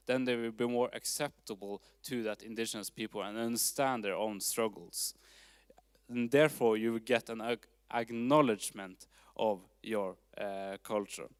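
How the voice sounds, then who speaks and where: A man with a Norwegian accent giving a public presentation at a town hall forum, speaking in a measured way with fillers and restarts. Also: medium pitch, clean studio sound. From Norway. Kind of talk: presentation talk.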